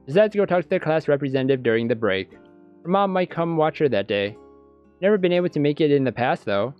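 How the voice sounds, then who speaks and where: A man's voice: fairly high pitch, animated, quick pace, clean tone. Dull-sounding man narrating a visual novel. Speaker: gaming YouTuber reading a visual novel aloud. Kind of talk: let's play narration with off-the-cuff reactions. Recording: dull lo-fi audio. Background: guitar background music.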